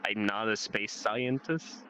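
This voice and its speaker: A man's voice: lowish voice, slow pace, pushed and bright, clear, resonant tone, low-quality recording. A teenage young man in a casual, rambling chat, swearing offhandedly and leaning on "like". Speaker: guest telling life experiences. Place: online voice chat in a VR social game.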